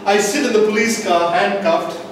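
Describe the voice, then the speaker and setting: A man telling a comic tall tale as a speech to a live audience, with theatrical pauses and an emphatic build-up. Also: high-pitched, fairly even intonation. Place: indoor meeting room, recorded from the audience. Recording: distant microphone, echoey room, background noise.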